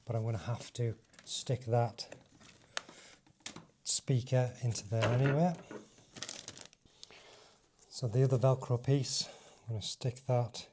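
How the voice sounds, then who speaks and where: A man's voice: medium pitch, mellow, a little hiss or hum. A man giving a casual hands-on demonstration, thinking aloud informally as he works through each step. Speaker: motovlogger presenting a fitting tutorial.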